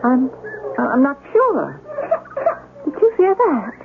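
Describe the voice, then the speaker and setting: A high, very animated voice of an anxious, tender woman in an old radio drama. She is emotional and insistent, exclaiming as she talks. The sound is tinny and noisy, like a worn broadcast. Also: slow, vocal fry, full character voice. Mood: alarmed, urgent. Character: anxious, tender, awestruck woman traveler named Joyce. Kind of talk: 1970s radio drama acting.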